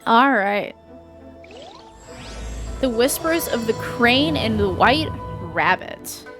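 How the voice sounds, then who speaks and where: High-voiced woman streaming a game. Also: lively intonation, slow pace, over music. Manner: informal, reacts briefly to dialogue. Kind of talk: casual game commentary.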